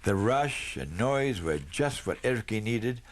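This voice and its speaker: A man's voice: fairly low pitch, lively intonation, slow pace, a little hiss or hum. A man reading a children's Christmas story aloud as scripted narration. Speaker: storybook reader.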